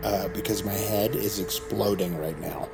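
An actor playing an astonished, casual, candid escapee in an audio drama. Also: male voice, on the low side, steady tone, normal speed, creaky, over music. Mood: baffled. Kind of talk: immersive audio drama acting.

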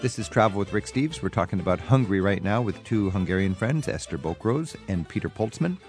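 A man hosting a travel radio show, asking curious quick follow-up questions in a conversational interview. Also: lowish voice, lively intonation, darkish tone, faint music behind.

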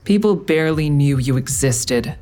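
A woman's voice: deep voice, lively intonation, normal speed, mellow. A woman playing a grim, confrontational investigator in an audio drama, questioning in a terse, dry, needling way. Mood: challenging. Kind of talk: audio drama acting with narration.

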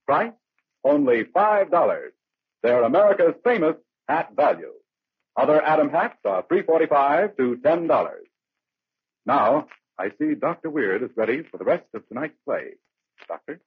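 A man's voice: a little high, sing-song, normal speed, breathy edge, no room sound. A male radio announcer delivering polished sales patter in a 1940s broadcast style. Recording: tinny old radio broadcast, phone-like.

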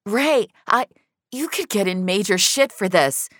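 A woman's voice: medium pitch, expressive, a little slow, a bit bright, no room sound, clean studio sound, voice pushed up. A woman voicing an anxious, blurting female agent in an audio drama. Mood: flustered. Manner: blurting, emotional, swearing. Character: foul-mouthed, anxious female dream-deleting agent.

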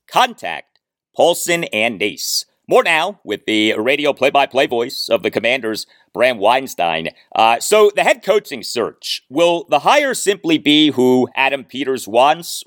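A man hosting a sports podcast, speaking in an emphatic, deliberate way with stressed key phrases. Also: mid-range voice, a bit bright, no room sound, studio quality. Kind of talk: scripted ad read and interview questions.

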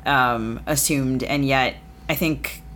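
Low-voiced woman host, musing with fillers, faint hiss in the background. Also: takes it slowly, a bit bright. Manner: thoughtful, many fillers. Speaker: podcast host. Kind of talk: casual podcast conversation.

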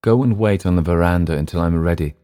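An impatient, world-weary older man , voiced by a male narrator. He speaks low and brusquely, as an irritable character in a literary audiobook. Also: fast talker, mellow, natural voice. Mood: irritated.

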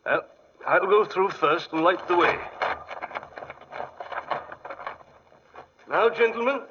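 An actor playing an excitable, fussy English gentleman archaeologist in 1940s radio style, often hesitant, with an English accent. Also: sits high, moderate pace, very noisy. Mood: fussy, guiding. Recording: muffled 1940s radio broadcast.

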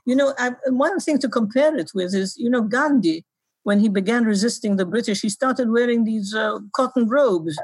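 An older woman from Egypt, a historian, explaining her research in brisk answers with frequent restarts. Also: fairly high pitch, darkish tone, breathy edge, no room sound, poor audio. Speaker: historian and author. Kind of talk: interview answers.

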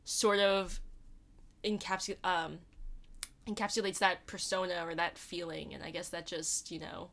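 A woman's voice: on the low side, fairly even intonation, unhurried, gravelly, fairly bright tone. A young woman, co-hosting a podcast in rambling casual chat with many hesitant "um" fillers. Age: young adult. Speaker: podcast co-host.

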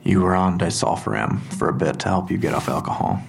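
A deep creaky male cop in an audio drama, calm, polite, keeps pushing, matter-of-fact. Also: heavily acted. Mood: clinical, insistent. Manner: measured, softly insistent, matter-of-fact. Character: calm, probing male police interrogator, politely relentless. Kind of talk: full-cast audio drama acting.

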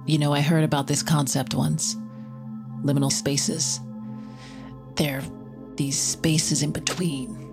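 A very low, slow female voice over clear music. a haunted woman narrating an audio drama, brooding and grave, measuring out each introspective thought. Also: little pitch movement. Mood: brooding, uneasy. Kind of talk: dramatic first-person narration.

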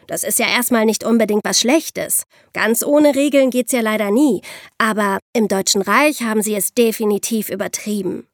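A woman co-hosting an explanatory history podcast, speaking clearly and emphatically. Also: medium pitch, dry recording, clean studio sound. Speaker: podcast co-host.

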